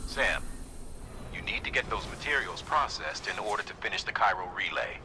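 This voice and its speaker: An actor playing an earnest mission commander in a video game, speaking in a measured, encouraging way. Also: male voice, fairly low pitch, lively intonation, background noise, close to an everyday voice. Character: earnest male mission commander guiding a courier. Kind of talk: video game cutscene dialogue.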